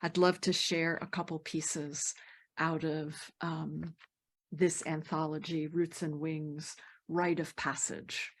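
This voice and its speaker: A woman who leads poetry workshops, presenting her work at a round-table and often dropping into soft near-whispers. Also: deep voice, level tone. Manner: slow, gentle, drops to near whispers.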